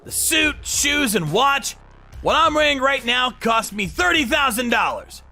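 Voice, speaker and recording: A man's voice: extremely high pitch, big pitch swings, unhurried, creaky, bright, forceful tone, soft background music, pitched above the performer's own voice, exaggerated voice. A man voicing an arrogant, whining younger brother, an overexcited salesman, in a dramatized story. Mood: flustered. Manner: sneering, overexcited, pleading.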